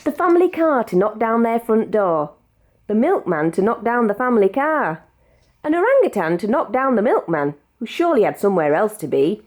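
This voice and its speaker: A British woman narrates a children's storybook in a fairly high voice. Her pitch swings up and down in a very animated way, with expressive, measured delivery suited to reading aloud.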